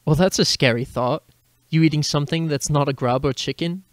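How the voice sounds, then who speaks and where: Man acting a high-pitched teasing story character. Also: fairly quick, no echo, lightly acted. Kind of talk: dramatic reading of dialogue. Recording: background music under a dry voice.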